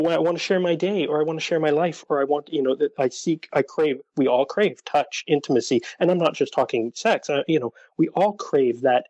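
A man's voice: medium pitch, darkish tone, husky, no room sound, dull audio. A man who is a podcast guest, speaking earnestly and searching for words in a casual interview. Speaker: podcast guest, dating coach for widowers.

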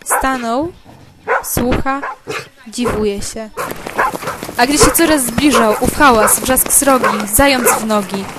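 Highish-voiced woman narrating a fable. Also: slow, pauses often, very noisy. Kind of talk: storytelling narration of a verse fable. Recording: homemade recording over loud music.

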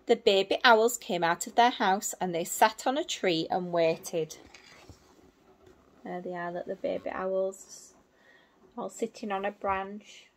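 A woman in her thirties reading a picture book to small children in simple, expressive phrases, adding little explanations as a teacher would. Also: mid-range voice, takes it slowly. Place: small indoor room at home.

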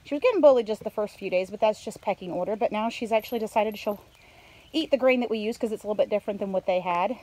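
A woman's voice: mid-range voice, fast, warm tone. A middle-aged woman giving a casual, rambling, unscripted vlog narration from behind a handheld phone outdoors on a farm. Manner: chatty, rambling while showing things around. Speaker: homesteader filming updates. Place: outdoors around a farmyard, coops and pens. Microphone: handheld phone, speaker behind camera. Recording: noisy outdoor phone recording with animal sounds. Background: farm ambience and animal sounds.

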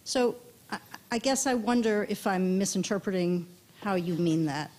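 A woman's voice: medium pitch, normal speed, a little mellow, slight background noise. A woman putting a probing question from an audience floor mic in an auditorium, thinking aloud as she goes. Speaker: audience member asking a question. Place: auditorium aisle. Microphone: floor stand mic.

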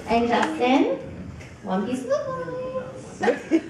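A young woman with an American accent gives an informal, joking, halting wedding toast as maid of honor into a handheld microphone. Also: very high voice. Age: young adult. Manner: animated, joking, halting with pauses. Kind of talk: informal speech, partly read from notes. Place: indoor wedding reception room. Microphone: handheld PA microphone, filmed from across the table. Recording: echoey, distant, very noisy crowded hall. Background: laughing, noisy crowd.

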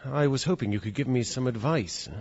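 An actor playing an earnest, formal young gentleman with an English accent in a radio drama. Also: medium pitch, brisk, husky. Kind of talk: radio drama narration and dialogue. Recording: dull radio recording under loud music.

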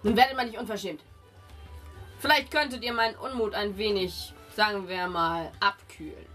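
A woman's voice: medium pitch, normal speed, fairly bright tone, faint music behind, natural voice. Woman playing a greedy, indignant village guardsman. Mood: haughty, hinting. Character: gruff, greedy, easily offended male village guard.

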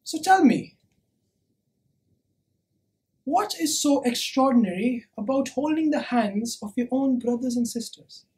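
A high-pitched, impassioned preacher, acted by a woman on stage delivers a sermon-like dramatic monologue. The delivery is very slow and deliberate, with emphatic repetition and weighty pauses. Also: lively intonation, dark tone, poor audio. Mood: quiet, earnest. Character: impassioned preacher urging a crowd to love. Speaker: actor performing a monologue.

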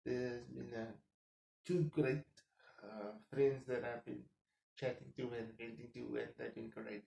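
A man in his thirties whose first language is Afrikaans, talking quietly to camera in his home kitchen and thinking out loud with lots of fillers. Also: mid-range voice, gravelly, reverberant, low-quality recording. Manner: subdued, keeps voice down so as not to wake the household. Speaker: vlogger. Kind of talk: casual monologue to camera.

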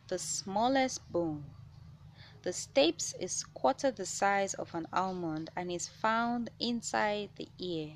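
A woman reading a children's science picture book aloud, with measured, clear phrasing for young listeners. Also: mid-range voice. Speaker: children's read-aloud host. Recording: noticeable background noise.

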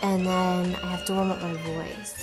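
A teenage singer chatting casually on a live stream, giggly and talkative, with lots of "like". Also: female voice, fairly low pitch, level tone, normal speed, over music. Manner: giggly, talkative, says 'like' often. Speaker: singer hosting a live show. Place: indoor studio room with piano and guitar.

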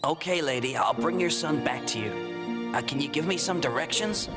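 A man's voice: a little high, moderate pace, close to an everyday voice. A man voicing a cocky young fox pilot hero in a video game, talking in a casual, sarcastic way. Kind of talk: video game cutscene dialogue. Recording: loud music behind the voice.

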